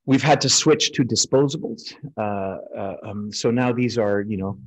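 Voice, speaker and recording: An American man, middle-aged, lecturing as a surgeon in an informal, explanatory style with a slightly husky voice. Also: on the low side, normal speed, low-quality recording. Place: indoors, on a video call over slides.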